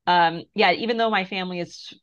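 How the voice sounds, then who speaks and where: A woman in her thirties from Moldova, a guest author talking thoughtfully in an interview with soft laughs and fillers. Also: lowish voice, a little fast. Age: 30s. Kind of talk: casual interview conversation. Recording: muffled lo-fi sound.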